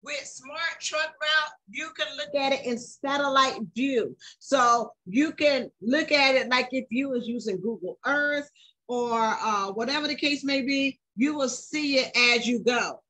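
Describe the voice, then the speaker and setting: A woman's voice: high-pitched, unhurried, bright, forceful tone, rough recording. An American woman giving a casual, emphatic walkthrough as a channel host, often checking in with her listeners. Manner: emphatic, repeatedly checks in with "okay?". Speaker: channel host and truck driver presenting a product review. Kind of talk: casual screen-recorded app walkthrough.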